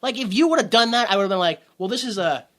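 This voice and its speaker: Bright-voiced man riffing on a movie. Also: sits high, lively intonation, very fast. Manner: rambling, swears freely, laughs, acts out quotes. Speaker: podcast co-host. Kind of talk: casual movie review chat.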